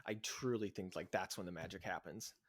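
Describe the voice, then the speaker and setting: A young man, a podcast guest, talking in an excited, chatty way, laughing often and filling his speech with "like". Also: low voice, a little fast, slightly rough. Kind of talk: casual podcast interview.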